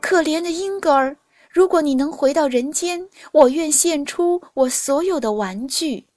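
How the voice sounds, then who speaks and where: A woman's voice: high-pitched, a little slow, a little mellow, dry recording, studio quality, voice pushed up. A woman voicing a kind, tender-hearted little girl in a storybook reading, in a pleading voice. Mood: pitying.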